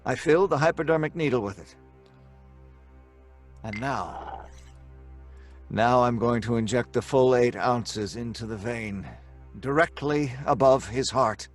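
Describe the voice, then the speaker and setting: An actor playing an obsessed young scientist in old-time radio horror style, earnest, dramatic and deliberate. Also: male voice, mid-range voice, faint music behind, close to an everyday voice. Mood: intent, proud.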